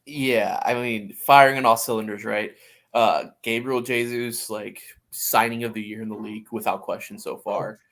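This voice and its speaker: A man's voice: on the low side, steady tone, normal speed, fairly bright tone. A man hosting a sports podcast, chatting casually about soccer and often repeating words as he thinks aloud.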